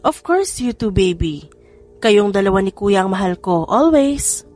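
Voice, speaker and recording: Woman acting expressive young Filipina heroine, music behind. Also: medium pitch, measured pace. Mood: tender. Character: young female nurse, loving mother, firm with her ex.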